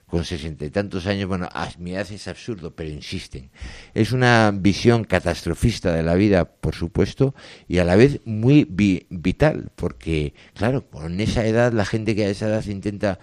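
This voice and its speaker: A man co-hosting a casual literature chat, reading lines aloud and musing on them, often trailing off quietly. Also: low-pitched, no room sound, studio quality. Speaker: co-host discussing poetry.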